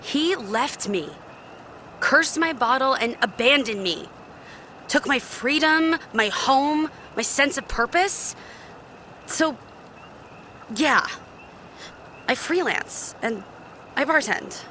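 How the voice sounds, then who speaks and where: An actress playing a guarded, sarcastic genie bartender in a video game, blunt and casual. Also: female voice, high-pitched, expressive, slow pace, long pauses, bright, forceful tone, slight background noise, heavily acted. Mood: bitter. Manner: blunt, dry, sassy. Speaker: video game romance character. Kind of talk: scripted game dialogue, casual.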